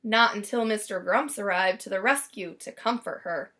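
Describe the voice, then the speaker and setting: A woman's voice: mid-range voice, normal speed, sharp tone. A woman reading a children's story aloud expressively, stretching and stressing words for drama. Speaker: storybook reader.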